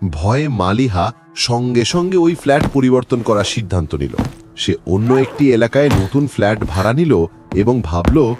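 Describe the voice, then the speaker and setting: A man's voice: medium pitch, big pitch swings, moderate pace, over music. Dramatic male horror narrator. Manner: dramatic storytelling with an audience question.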